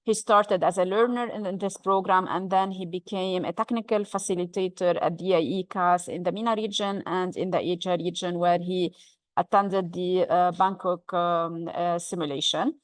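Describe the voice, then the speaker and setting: A woman who manages a course, introducing a testimonial in an online information session and pausing often on fillers, speaking over a video call. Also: fairly low pitch, steady tone, dry recording. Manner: hesitant, with many "um" and "uh" fillers.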